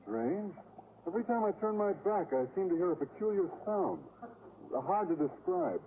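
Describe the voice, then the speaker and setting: A man's voice: high voice, big pitch swings, normal speed. An actor playing a mild, naive and courteous male teacher, earnest and oblivious, in an old-time radio comedy. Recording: scratchy, tinny old radio recording.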